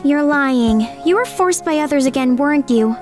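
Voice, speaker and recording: A sweet, lonely anime high school girl from a rich family acted by a woman. Her voice is high and girlish with a gentle manner, and music plays clearly behind it. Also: lively intonation, normal speed. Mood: friendly, concerned. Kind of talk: anime-style manga dub acting.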